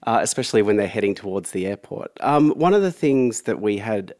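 A man's voice: on the low side, expressive, fairly quick, darkish tone. Congested man hosting a podcast. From Australia. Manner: chatty, wry and enthusiastic. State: congested from a cold. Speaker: podcast host. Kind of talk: casual podcast chat. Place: lounge room at home.

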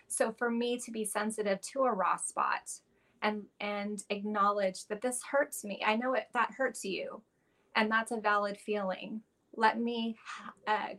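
A woman actor talking earnestly and warmly in an interview, often restarting her sentences. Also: mid-range voice. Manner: earnest, heartfelt, with frequent restarts and fillers. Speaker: actress guest. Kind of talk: casual interview talk.

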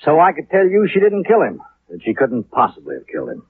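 An actor playing a slick, cynical American city attorney in a 1950s radio drama, speaking in a wry, glib, knowing way. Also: male voice, a little high, wildly swooping pitch, fast talker. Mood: wry, insistent. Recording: tinny, noisy 1950s radio recording.

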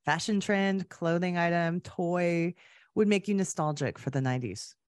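A woman's voice: lowish voice, normal speed. A woman with a Canadian accent hosting a podcast, chatting casually and conversationally with a guest. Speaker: podcast host. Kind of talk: casual interview chat.

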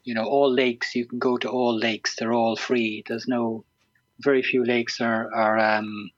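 An Irish man in his sixties chatting as an interview guest, hesitant and full of ums and restarts. His voice sits at an ordinary pitch. The sound is dull and lo-fi, with a dry, close feel. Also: flat delivery, measured pace, no room sound. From Ireland. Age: 60s. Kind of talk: casual interview chat.

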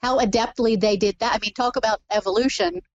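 A woman's voice: on the high side, steady tone, fast talker, dull audio. A woman talking about a book in a casual podcast chat, thoughtful and analytical.

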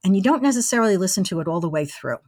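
A woman in her sixties telling casual anecdotes as an interview guest, with an animated storytelling manner. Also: medium pitch, racing, no echo, studio quality. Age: 60s. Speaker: interview guest, former college radio engineer.

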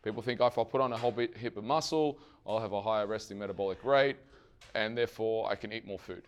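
Hoarse man giving a fitness seminar. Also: on the low side, quick pace. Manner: energetic, asks the audience questions and answers them himself; swears casually. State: hoarse, losing his voice. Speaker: fitness coach presenting to trainers. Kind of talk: live seminar presentation.

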